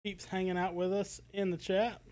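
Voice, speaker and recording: A woman's voice: medium pitch, little pitch movement, moderate pace, a little mellow, dry recording. A woman co-hosting a podcast in a casual livestream chat style. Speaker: podcast co-host.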